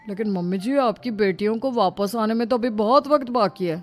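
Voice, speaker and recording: Lady as a young son-in-law, medium pitch, polite and agreeable, earnest, faint music. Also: a little fast, darkish tone, natural voice. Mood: puzzled.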